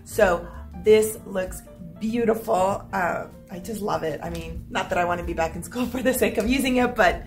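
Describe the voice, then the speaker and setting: A middle-aged woman filming a casual unboxing vlog at home, upbeat and chatty, her voice slightly hoarse as if she is losing it. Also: medium pitch, normal speed, faint music behind. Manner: chatty and upbeat, clears her throat now and then. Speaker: vlogger. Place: small home room with stacked boxes, indoors.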